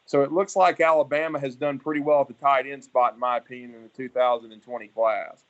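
A man's voice: mid-range voice, steady tone, fast talker, dull audio. A man hosting a sports show, discussing college football in a conversational, opinionated and detailed way. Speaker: sports podcast host.

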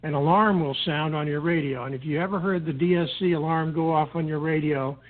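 A man giving methodical, measured instructional explanations as a guest, step by step. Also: a little high, fairly even intonation. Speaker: guest explaining radio procedures. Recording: tinny narrow-band phone-like line.

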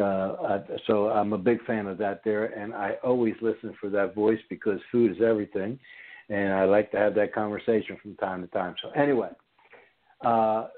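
A man's voice: on the low side, narrow, tinny audio. Man in his sixties hosting radio. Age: 60s. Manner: rambling, chatty, with many fillers. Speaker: radio host.

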